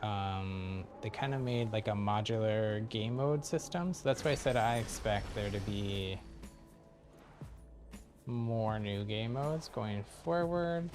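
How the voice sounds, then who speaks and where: A man's voice: on the low side, slow pace, darkish tone. A man doing casual live game commentary, thinking aloud and chatting with viewers in an easygoing way. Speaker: game streamer. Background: game music.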